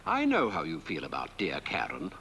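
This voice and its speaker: An actor playing a gloating dead husband who taunts his rival in mocking, drawn-out, theatrical phrases in a horror-comedy film. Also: very deep voice, wildly swooping pitch, full character voice. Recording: faintly noisy old film soundtrack.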